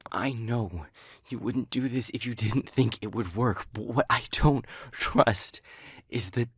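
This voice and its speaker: An anguished demon, acted by a man, speaks tenderly to a beloved human in an intimate audio drama. The voice is husky and breathy, often sinking toward a whisper. The delivery is slow and halting, with heavy emotional weight on the words. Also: medium pitch, no echo, studio quality. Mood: anguished, intense.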